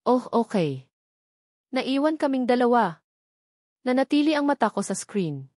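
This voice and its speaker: A woman's voice: sits high, expressive, moderate pace, darkish tone, no echo, clean studio sound. A woman with a Filipino accent reading a first-person romance novel as scripted audiobook narration.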